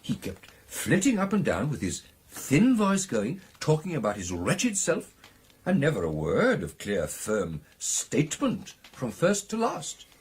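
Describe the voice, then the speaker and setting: An actor playing an excitable British gentleman recounting a ghostly encounter in a radio drama, with theatrical, animated delivery. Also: mid-range voice, a little slow, husky, full character voice. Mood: animated, musing. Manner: theatrical, animated storytelling with exclamations and hesitations.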